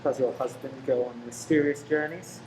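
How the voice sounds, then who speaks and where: A woman's voice: extremely low pitch, steady tone, normal speed, mellow. A woman playing a charming young man in a radio play, jaunty and inquisitive. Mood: probing. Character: charming, probing young man about town named Ted. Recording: noisy room recording.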